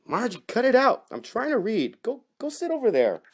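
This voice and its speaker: An excitable, whiny husband in a horror comic melodrama, acted by a man. His voice is pushed up from a low natural register and sounds hapless and nervous. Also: normal speed, gravelly, exaggerated voice. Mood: exasperated.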